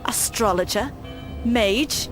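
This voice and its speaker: A woman's voice: a little high, takes it slowly, over music. An actress playing a grieving young queen in a video game, curt, stern and imperious. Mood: indignant, stern. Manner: stern, blunt. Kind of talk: video game cutscene acting.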